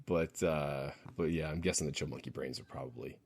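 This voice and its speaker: A man's voice: extremely low pitch, fairly even intonation, a little fast, gravelly, darkish tone, breathy edge. A man co-hosting a podcast in casual, rambling, joking banter. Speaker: podcast co-host. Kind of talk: casual chat.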